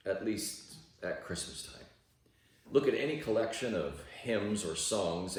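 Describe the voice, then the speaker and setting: A low, gravelly male voice reads a devotional in measured, deliberate phrases, leaning on key words. The sound is very reverberant and a little distant from the microphone. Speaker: reader of a devotional audiobook. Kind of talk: read-aloud devotional narration. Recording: very echoey room, distant from microphone.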